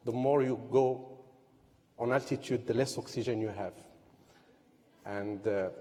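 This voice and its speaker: A man recounts his path into business on a conference stage. His voice is warm and mellow, his delivery measured and dotted with fillers. Long reverb from a large hall trails his words. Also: medium pitch. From Morocco. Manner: measured, pausing often with fillers. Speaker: entrepreneur. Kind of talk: personal story on a conference panel.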